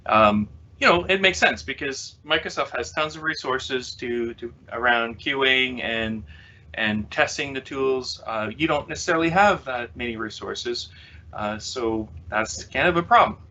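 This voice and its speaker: A bright, forceful-voiced man with a French Canadian accent, whose first language is French, giving a casual developer conference talk over a webcast. He rambles with plenty of "uh"s and jokes at his own expense. The audio has a slightly dull, lo-fi webcast sound. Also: medium pitch, expressive, a little slow. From Quebec City, Canada. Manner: chatty, many "uh" fillers, self-mocking humor. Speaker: conference speaker, developer consultant. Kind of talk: self-deprecating tech presentation.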